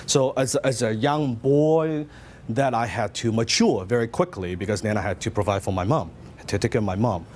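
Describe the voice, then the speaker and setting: A man's voice: medium pitch, moderate pace, darkish tone, a little hiss or hum. A middle-aged man from Hong Kong with a Hong Kong Cantonese accent, giving a motivational seminar talk and telling his story with lots of questions to the audience. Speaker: business speaker.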